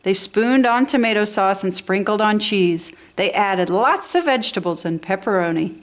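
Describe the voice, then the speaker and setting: A woman narrates a picture-book story for toddlers. Her pitch swings widely as she stresses key words with enthusiasm. The delivery is expressive and clearly aimed at small children. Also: normal speed. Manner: enthusiastic, animated, exaggerated emphasis for young children. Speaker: storybook reader for a toddler channel.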